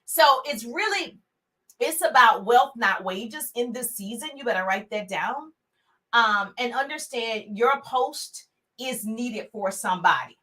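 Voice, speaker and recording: High bright big-voiced woman coach. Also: unhurried. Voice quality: big, full voice. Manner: energetic, preachy, talks straight to viewers with repeated calls to share. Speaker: motivational speaker and business coach. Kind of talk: live-stream motivational coaching talk.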